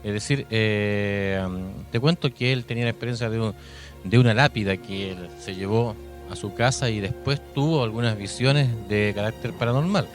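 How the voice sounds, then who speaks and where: A Chilean man co-hosting a conversational paranormal radio show, speaking in a reflective, halting way with repeated phrases. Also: fairly low pitch. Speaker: radio co-host. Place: radio studio. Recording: music bed under studio talk. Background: music.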